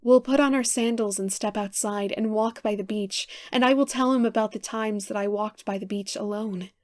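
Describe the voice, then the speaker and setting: A woman reading her poems aloud for an audiobook, with an earnest, measured delivery. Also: medium pitch, fairly even intonation, a little mellow, no echo, clean studio sound. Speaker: poetry reader. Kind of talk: poetry audiobook recitation.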